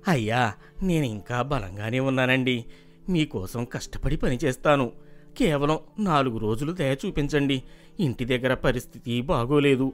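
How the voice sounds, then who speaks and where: A man's voice: mid-range voice, normal speed, lightly acted. A man voicing a poor old villager, humble yet proud, subdued and pleading, in a moral story. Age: older.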